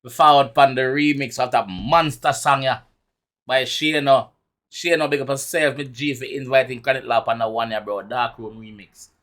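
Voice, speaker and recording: A man's voice: mid-range voice, steady tone, normal speed, fairly bright tone. A man with a Jamaican accent giving casual, emphatic music reaction commentary in Patois, often repeating his phrases. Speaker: YouTube reaction host.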